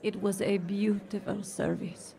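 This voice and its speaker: A gentle older mother in a radio drama. Her voice is soft-edged and mellow with a gravelly creak, and she speaks with tender, reflective faith. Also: mid-range voice, flat delivery, unhurried. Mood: tender grief.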